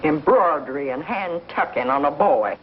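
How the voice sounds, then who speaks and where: A woman's voice: deep voice, lively intonation, normal speed. An actress playing a stern, blunt pioneer woman with rustic speech in a 1950s television western. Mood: disapproving, blunt. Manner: blunt, rustic grammar, scolding. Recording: muffled, noisy 1950s TV soundtrack.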